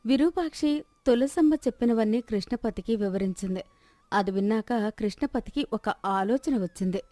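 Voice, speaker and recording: A woman's voice: on the high side, moderate pace, dark tone, no room sound, soft background music. A woman narrating a scripted moral story as the storyteller.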